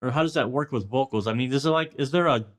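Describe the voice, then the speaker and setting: A man's voice: medium pitch, quick pace. Casual male host in his thirties. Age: 30s. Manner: casual, swears, thinks out loud. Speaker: podcast host. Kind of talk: casual interview questions.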